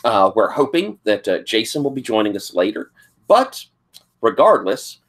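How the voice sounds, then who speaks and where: A man who is hoarse and sniffly, running a tabletop game as game master, talking in a rambling way with many fillers. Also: fairly low pitch, very animated, normal speed, a bit bright. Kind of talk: casual tabletop game recap.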